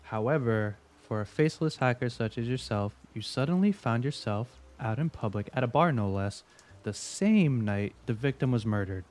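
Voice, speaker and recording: Guy doing a smug veteran investigator in an audio drama, medium pitch, wry, probing, needling. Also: expressive, moderate pace, darkish tone, lightly acted.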